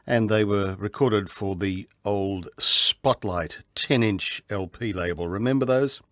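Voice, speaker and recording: A man's voice: on the low side, a little slow, a bit bright, no echo, studio quality. An Australian man hosting a music programme on the radio, introducing records in an informal way. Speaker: radio host.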